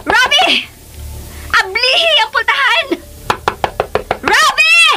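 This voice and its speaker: An actress playing a furious, demanding jilted mistress with a shrill voice in a melodramatic radio drama. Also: female voice, animated, slow pace, pushed and bright, soft background music, heavily acted.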